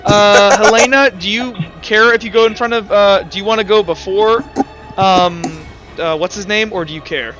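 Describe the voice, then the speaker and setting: A man running a tabletop roleplaying game as game master in a casual, halting way, thinking aloud as he narrates. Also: sits high, music in the background.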